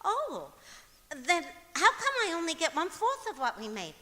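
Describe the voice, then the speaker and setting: Woman acting a high, daffy radio-comedy wife. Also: wildly swooping pitch, normal speed, bright, forceful tone, full character voice. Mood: naive, puzzled. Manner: guileless, earnest delivery of nonsense. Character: scatterbrained, cheerfully illogical wife with her own daffy reasoning. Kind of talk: old-time radio comedy acting performed on stage. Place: performing arts center auditorium, live stage. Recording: echoey auditorium stage hall.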